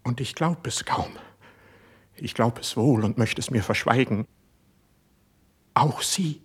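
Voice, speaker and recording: An actor playing an impassioned, suspicious young court poet in a classical verse radio drama, with a husky, declamatory delivery. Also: male voice, mid-range voice, slow. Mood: anguished, brooding. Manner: declamatory, intense stage delivery.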